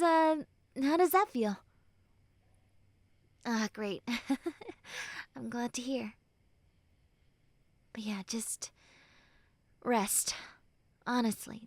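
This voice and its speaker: A woman playing a normally mean young tsundere who turns tender and caring, speaking haltingly and earnestly to the listener in an intimate roleplay. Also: on the high side, no room sound. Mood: soft, soothing. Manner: halting, bashful, earnest, soft and breathy when consoling. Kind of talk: intimate roleplay audio drama, spoken to the listener.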